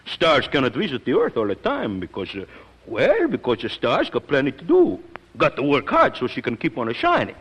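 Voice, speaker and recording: An old Italian singing teacher. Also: male voice, medium pitch, wildly swooping pitch, fairly quick, fairly bright tone, breathy edge, exaggerated voice. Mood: wistful. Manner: broken English, emotional, sighing. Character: elderly Italian immigrant singing teacher, tender and proud. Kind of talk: 1940s film acting. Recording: dull old film soundtrack.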